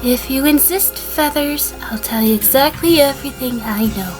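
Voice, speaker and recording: A woman playing a cool, guarded sheep defendant testifying deliberately in a fan audio drama. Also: high voice, over music, close to an everyday voice, rough recording. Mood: cool, sardonic. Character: female sheep defendant, cool, guarded former assistant mayor.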